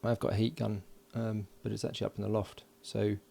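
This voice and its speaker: A man giving relaxed, matter-of-fact running commentary as he solders at a home workbench, recorded by an overhead camera. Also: on the low side, fairly quick, gravelly. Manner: mutters along while working, relaxed and matter-of-fact. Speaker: electronics hobbyist. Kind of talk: casual build commentary.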